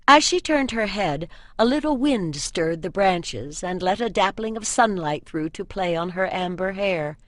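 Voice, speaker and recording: Female audiobook narrator, mid-range voice, measured fantasy storytelling, very little room echo. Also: medium pitch, animated.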